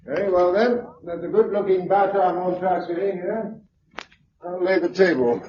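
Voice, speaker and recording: An actor playing a wry, courteous British survivor in a radio drama, with a dry, urbane, teasing delivery. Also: male voice, high-pitched, normal speed, natural voice. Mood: playful, courteous. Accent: British English. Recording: dull, noisy old radio recording.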